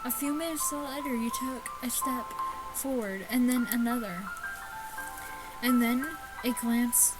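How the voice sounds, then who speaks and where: A woman hosting a gaming video, reading a visual novel aloud in a casual, halting way with stumbles and asides. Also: a little high, fairly even intonation, loud music behind the voice, low-quality recording. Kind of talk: casual chat and read-aloud let's play.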